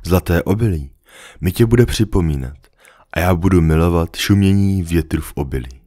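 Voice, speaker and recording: A man reading a storybook, voicing a lonely, patient female fox in a soft, earnest, coaxing way. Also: deep voice, animated, no room sound, clean studio sound. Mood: earnest, wistful.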